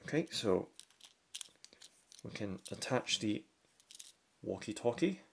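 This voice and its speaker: Deep, gravelly-voiced man narrating an unboxing. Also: lively intonation, slow pace. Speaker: product reviewer. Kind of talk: unboxing commentary. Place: indoors at a wooden tabletop. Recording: faint handling and crinkling noise. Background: faint clicks and packaging crinkle.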